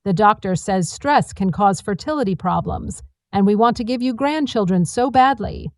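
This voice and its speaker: A woman's voice: mid-range voice, animated, normal speed, darkish tone, no echo, lightly acted. A woman narrator plays a young daughter-in-law in a family drama story, sugary and over-polite, pleading and manipulative underneath. The sound is very dry.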